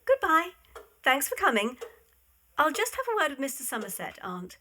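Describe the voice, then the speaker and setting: A woman acts a self-assured young heiress in a period audio drama. Her voice is high, bright and lively, with sweeping intonation. She speaks politely but airily, as someone used to getting her way. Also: moderate pace, slight background noise, full character voice. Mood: airy, decisive. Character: confident young millionaire castle owner, modern miss, airy and decided.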